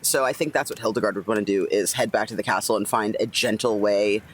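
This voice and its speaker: A man's voice: on the low side, fairly even intonation, quick pace, slight background noise. A man playing a tabletop roleplaying game, casually reasoning aloud about his character and checking in with listeners.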